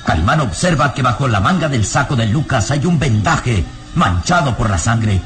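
A man narrating a radio serial in a solemn, suspenseful style with deliberate phrasing. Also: mid-range voice, animated, a bit bright. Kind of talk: dramatic scripted radionovela narration. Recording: radio drama with clear music bed.

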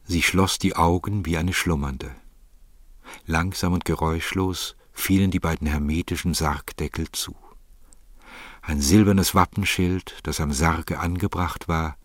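A man's voice: sits low, fairly even intonation, a little slow, no room sound, studio quality. A man narrating a literary story as scripted narration.

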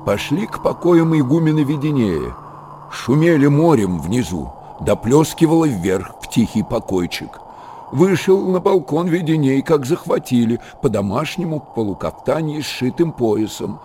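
A man performing as an expressive folk storyteller, narrating a literary radio drama with a husky voice. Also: medium pitch, normal speed, a little hiss or hum, close to an everyday voice. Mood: storytelling.

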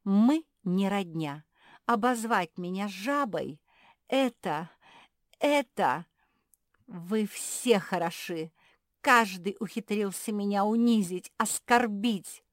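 A woman's voice: medium pitch, expressive, slow, no room sound, clean studio sound, pitched above the performer's own voice. A woman voicing a haughty, vindictive female frog in a children's storybook, indignant and imperious. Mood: offended.

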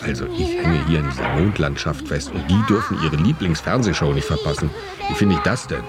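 A man's voice: extremely low pitch, expressive, brisk, loud music behind the voice, over a noisy crowd. An actor playing a bewildered, put-upon family man telling his plight in a radio play monologue, sardonic and exasperated. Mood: indignant, sardonic. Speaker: actor narrating in first person. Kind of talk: dramatic first-person audio play monologue.

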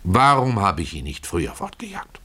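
An actor playing a poor, soft-hearted former caretaker who tells his story in a folksy, ruminative way for a radio play. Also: deep voice, wildly swooping pitch, moderate pace, husky, full character voice. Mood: bemused, resigned. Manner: folksy, ruminative, addressing a listener, with rhetorical asides. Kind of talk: dramatic first-person literary storytelling, radio play.

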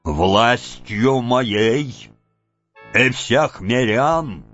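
A man voicing a pompous, quick-tempered old village head who barks orders and threatens. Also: medium pitch, animated, a bit bright, highs cut off, soft background music, pitched above the performer's own voice. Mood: blustering commands. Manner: blustering, commanding, suspicious.

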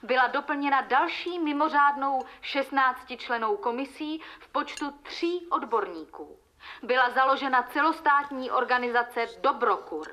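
High bright formal woman newsreader. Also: slight background noise, poor audio. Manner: formal, emphatic. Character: official female radio announcer reading state news. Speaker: radio news announcer. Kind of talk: scripted radio news bulletin.

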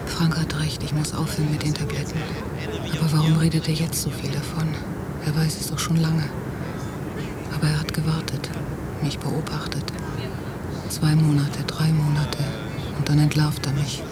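An actress playing an anxious, jealous, watchful woman in a German radio drama, in a quiet, introspective, measured delivery. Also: low-pitched, flat delivery, close to an everyday voice. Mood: brooding, inward. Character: anxious, jealous young-to-middle-aged woman on holiday, brooding, watchful. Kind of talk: German radio drama, intimate interior monologue and dialogue. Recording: 1990 radio drama from cassette, music behind.

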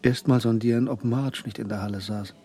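An actor narrating a radio play, voicing a schemer's private thoughts in a dry, sardonic manner. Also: male voice, mid-range voice, fairly even intonation, fairly quick, warm tone, natural voice. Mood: calculating.

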